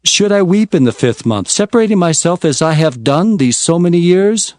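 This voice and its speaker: An actor playing an earnest Hebrew prophet, narrating visions in a solemn, emphatic, declamatory scripture-drama style. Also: male voice, high voice, lively intonation, normal speed, darkish tone, a little hiss or hum. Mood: questioning. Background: music.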